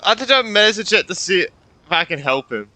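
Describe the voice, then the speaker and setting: A young man reacting casually to a video game as he streams, excitable and quick to swear. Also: high-pitched, dry recording. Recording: game music behind the voice. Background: game music.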